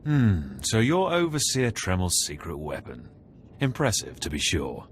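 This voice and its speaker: An actor playing a smug, proud rival Sith acolyte in a video game, menacing in a controlled, condescending, taunting way. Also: male voice, low-pitched, very animated, unhurried, a little hiss or hum. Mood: smug, sizing up.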